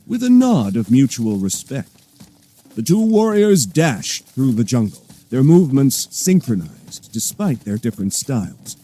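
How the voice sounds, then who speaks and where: Casting: male narrator for action audio drama, mellow dark tone, highly varied intonation, dramatic scripted delivery. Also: sing-song, unhurried.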